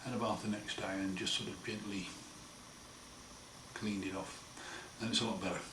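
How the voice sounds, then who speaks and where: A man with a croaky, hoarse voice after throat surgery, talking slowly and wryly in a casual home video diary. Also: lowish voice, steady tone. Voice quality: hoarse, partly breathy. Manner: slow, wry, plain-spoken, often pausing. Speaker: patient sharing his recovery. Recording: roomy echo, far mic, noisy.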